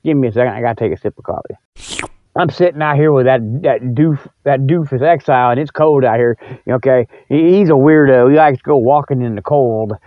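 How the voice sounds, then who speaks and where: A man's voice: mid-range voice, a little fast, no echo, narrow, tinny audio. A man hosting a radio show with a casual, rambling comic monologue, speaking in a folksy, colloquial way and teasing his listeners. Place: cold spot, apparently outdoors.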